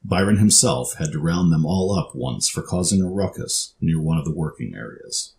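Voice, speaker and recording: A man's voice: a bass voice, normal speed, a little mellow, slight background noise. A man reading scripted story narration for an audio drama.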